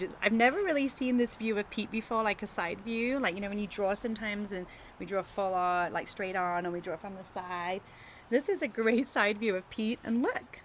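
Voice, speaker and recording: Lively, expressive woman telling stories outdoors. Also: mid-range voice. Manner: encouraging, asks young listeners questions. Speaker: teacher reading a picture book to children. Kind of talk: animated story time read-aloud with chatty asides. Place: outdoors in a riverside garden, birds and faint traffic. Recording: faint outdoor birdsong and traffic noise.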